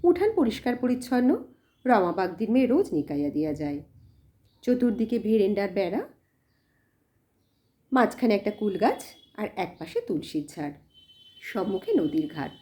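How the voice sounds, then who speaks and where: A woman narrating a literary story in an expressive, measured storytelling manner. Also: medium pitch. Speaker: audiobook narrator.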